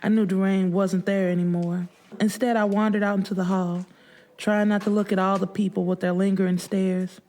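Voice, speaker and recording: A woman's voice: medium pitch, dark tone. A woman narrating first-person fiction as an audiobook, with emotive, sorrowful delivery.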